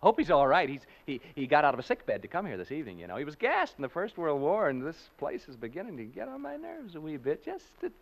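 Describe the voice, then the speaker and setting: An actor playing a frightened American broadcaster unraveling in a haunted house, rambling and stammering in 1940s radio suspense style. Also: male voice, a little high, huge pitch swings, quick pace, hardly any pauses, heavily acted. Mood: jittery worry. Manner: rambling, stammering, nervous laughter. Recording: dull, lo-fi vintage radio recording.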